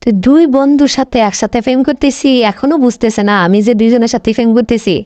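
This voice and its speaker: Fast, high-pitched scheming cartoon girlfriend, played by a woman. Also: warm tone, no room sound, natural voice. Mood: smug, scheming. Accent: Bangladeshi. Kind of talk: comic cartoon dialogue.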